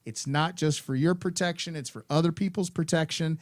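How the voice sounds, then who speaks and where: A man's voice: on the high side, steady tone, brisk. A man hosting a school's afternoon announcements, friendly and instructive, repeating his points to students.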